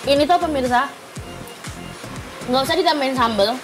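A woman's voice: sits high, normal speed. A young woman hosting a food show, chatting with enthusiasm as she tastes dishes and talks to viewers. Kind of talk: casual food review chat. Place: open-sided restaurant hut. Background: background music.

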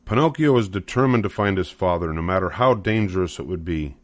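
Low-voiced man reading a children's story. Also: lively intonation, brisk, no room sound. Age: older. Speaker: storybook narrator. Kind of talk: scripted children's story narration.

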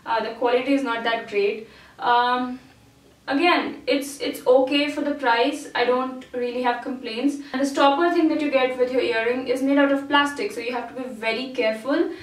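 A woman's voice: high-pitched, fairly even intonation, moderate pace. A woman in her twenties with a casual, chatty way of talking, full of ums and restarts, reviewing her purchases to camera indoors. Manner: chatty, hesitant, lots of um and I mean. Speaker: beauty vlogger. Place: small indoor room. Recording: roomy echo, mic at a distance.

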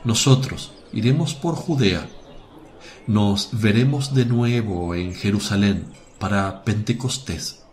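Guy acting gentle solemn holy teacher, radio drama, slow and lowish, birds n some noise behind. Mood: calm, compassionate. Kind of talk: Spanish religious radio drama.

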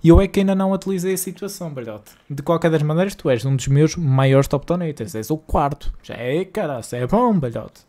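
A man's voice: fairly high pitch, expressive, a little fast, hardly any pauses, dark tone. A young man from Portugal with a northern Porto accent, streaming a game live and chatting casually with viewers in slangy, exasperated banter. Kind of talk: live-stream gaming chat. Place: home streaming room.

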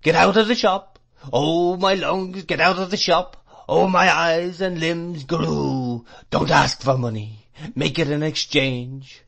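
A man's voice: on the high side, gravelly, pitched above the performer's own voice, heavily acted. A man voicing a fierce old drunken shopkeeper from a storybook, with a rattling throat and a sing-song whine. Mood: furious. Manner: monotonous sing-song whine, rising then falling, drawn-out exclamations.

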